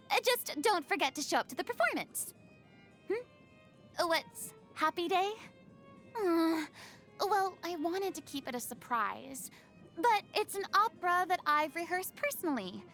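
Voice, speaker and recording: A young game heroine: high, unhurried, haughty former stage star, refined and dramatic, wistful underneath. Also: female voice, a bit bright, full character voice. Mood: bright, flustered. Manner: theatrical, refined, haughty diction with dramatic swings. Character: theatrical young woman, proud, dramatic former stage idol, secretly wistful. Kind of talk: video game character voice lines.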